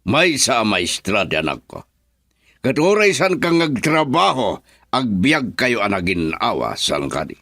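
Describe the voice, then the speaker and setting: A man's voice: mid-range voice, sing-song, measured pace, a bit bright, dry recording, studio quality, exaggerated voice. A man acting a wealthy, stern, condescending father in a radio drama, with a Filipino accent. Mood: haughty, pressing. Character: wealthy, haughty, disapproving father of a young woman.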